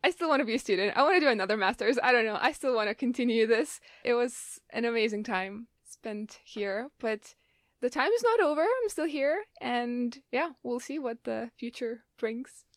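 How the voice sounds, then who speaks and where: A woman's voice: on the high side, expressive, normal speed, no echo, studio quality. A young woman introducing herself casually on a student podcast, enthusiastic and a little wistful. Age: young adult.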